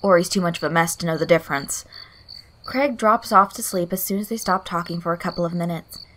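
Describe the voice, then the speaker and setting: A woman reading fan fiction aloud in an expressive storytelling style. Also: lowish voice, a little fast, slight background noise. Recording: soft music behind the voice. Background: guitar loop, night insect sounds.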